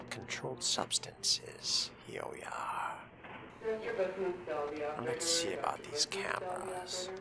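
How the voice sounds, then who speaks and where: A man voicing a haughty, scheming fledgling vampire in a video game roleplay, breathy and near-whispered, musing to himself in pompous, disdainful language. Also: fairly high pitch, exaggerated voice. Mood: disdainful, smug. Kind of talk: in-character roleplay monologue over a video game.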